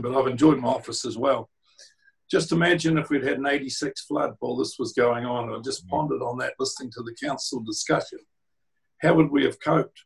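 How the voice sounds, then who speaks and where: A man with a croaky voice, presenting a report to a council over a video call in a chatty, rambling way. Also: medium pitch, poor audio. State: croaky voice, clearing throat.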